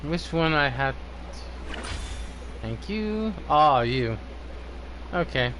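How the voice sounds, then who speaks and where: A man's voice: on the high side, very animated, drawn-out words, background noise. A man giving casual live gameplay commentary, informally muttering to himself and swearing. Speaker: game streamer.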